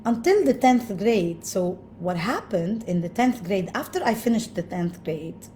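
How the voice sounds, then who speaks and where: A woman from Lebanon tells a personal story slowly and thoughtfully, often stopping on fillers. Her voice is warm and mellow, at a middle pitch, with a faint hum of ambient noise behind her. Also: lively intonation. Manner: thoughtful, with frequent pauses and fillers. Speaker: speaker sharing personal story.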